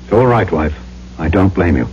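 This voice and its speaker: Tough plain-talking trader-narrator for vintage radio play; deep-ish register, colloquial, sure of himself, dull archive sound. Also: male voice, animated, normal speed, darkish tone, slight background noise. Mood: firm, reassuring. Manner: folksy, colloquial, self-assured. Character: tough, plain-spoken, defiant male island trader and storyteller. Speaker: actor, lead and narrator. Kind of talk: old-time radio drama acting and first-person narration. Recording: muffled old radio recording with music behind.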